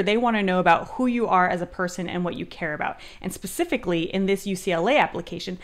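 A young woman, an admissions advisor, reading a sample essay aloud and explaining it in a clear, upbeat way. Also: lowish voice. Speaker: grad school admissions advisor. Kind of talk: reading and critiquing an essay on screen.

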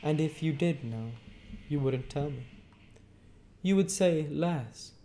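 A man's voice: a little high, slow pace, mellow, pitched above the performer's own voice. A man voicing a stern middle-aged male lawyer who questions in a clipped, emphatic way. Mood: lecturing. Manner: clipped, cross-examining, emphatic.

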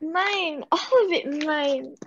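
Teen girl acting vain gossipy spoiled fairytale girl, high and slow, muffled call audio. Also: a bit bright, a little hiss or hum, full character voice. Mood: greedy, pleased. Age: teenager. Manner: exaggerated, drawn-out, gushing.

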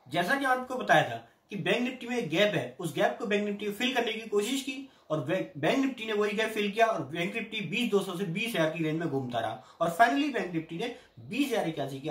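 A middle-aged Indian man hosting a daily stock market update, briskly reading out price levels and tips. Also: high voice. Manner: brisk, reads out price levels in a lively sing-song. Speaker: stock market analyst host. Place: small indoor room with patterned curtain. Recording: echoey room.

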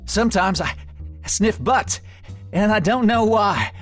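A man's voice: high voice, lively intonation, a little slow, soft background music, pitched above the performer's own voice. A man voicing a friendly, earnest dog in a storybook, speaking eagerly and with emphasis. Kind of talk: storybook character read-aloud.

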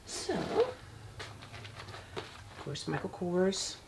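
A soft-spoken woman in her fifties, casually showing a handbag in her bedroom with halting speech and many fillers. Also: lowish voice, wildly swooping pitch, creaky, background noise. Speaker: handbag vlogger. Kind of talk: casual product demo. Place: bedroom, seated on a bed. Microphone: external camera mic.

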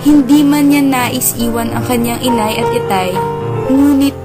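Auntie storyteller played by a woman, higher-pitched, dramatic serial narration, prominent music bed. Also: unhurried, natural voice. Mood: dramatic storytelling. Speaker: radio drama narrator-host. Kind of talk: scripted radio serial narration.

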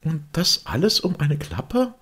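A man voicing a young, gentle and polite storybook girl with a wondering manner. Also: a little high, wildly swooping pitch, unhurried, slightly rough, voice pushed up, full character voice. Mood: gentle, curious.

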